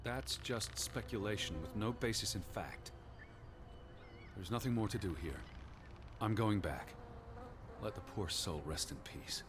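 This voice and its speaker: Game lead: 1920s American man of science, lower register, animated intonation, formal, rational, weary and anxious. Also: unhurried, pauses often. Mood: grave, dismissive. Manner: formal, weary, exasperated, precise. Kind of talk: video game voice acting. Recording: clear ambient noise behind voice.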